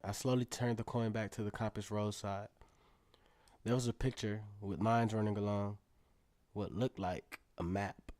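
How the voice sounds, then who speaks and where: Low-voiced eager young student played by a man. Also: fairly even intonation, normal speed, a little mellow, dry recording, lightly acted. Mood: wondering. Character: eager young male student performer, narrating his own story. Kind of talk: scripted first-person radio drama narration.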